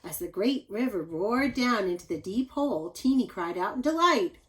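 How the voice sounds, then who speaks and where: A woman teacher reading a children's story aloud, explaining things simply and speaking directly to young listeners with expressive emphasis. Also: medium pitch, moderate pace, low-quality recording.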